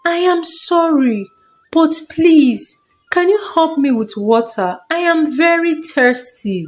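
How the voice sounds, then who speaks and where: A humble old village man from a folktale, played by a woman, gentle and polite. He speaks slowly, in a high, lilting voice, pleading and thankful. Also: animated. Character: humble, thirsty, grateful elderly village man.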